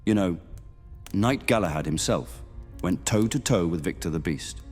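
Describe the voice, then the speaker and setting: Man acting level-headed guild knight, low voice, measured explaining manner, fantasy roleplay, soft music behind. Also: animated. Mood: measured, cautioning. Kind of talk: fantasy audio roleplay, in character.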